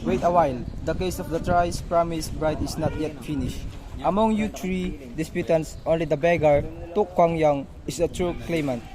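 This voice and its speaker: A man's voice: a little high, normal speed, crowd noise, lightly acted, poor audio. A man playing a solemn, ceremonious magistrate in an amateur radio drama, speaking formally and declaiming. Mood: proclaiming.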